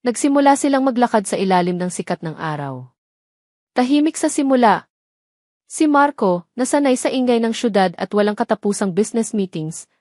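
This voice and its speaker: A woman's voice: mid-range voice, darkish tone, no room sound. Woman narrating, dry close sound. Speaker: storyteller. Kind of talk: scripted romance story narration.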